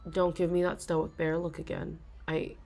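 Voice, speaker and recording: A young woman in an ASMR role-play, confiding softly to a listener, giggling often and halting with restarts. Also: low-pitched, level tone, vocal fry, slight background noise. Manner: soft, giggly, self-conscious, halting with many restarts.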